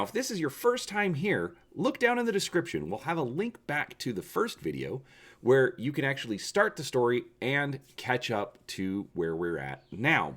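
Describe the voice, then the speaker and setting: A man hosting and narrating a storybook read-through, speaking with expressive, emphatic presenter delivery. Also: mid-range voice. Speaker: channel host and story reader.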